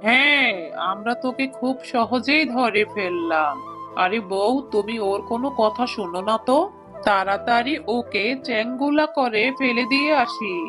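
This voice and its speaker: A woman's voice: fairly high pitch, moderate pace, over music, close to an everyday voice. A woman voicing a bossy, food-loving fox husband in a cartoon fable, emphatic and commanding. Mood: angry, gloating. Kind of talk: cartoon character acting.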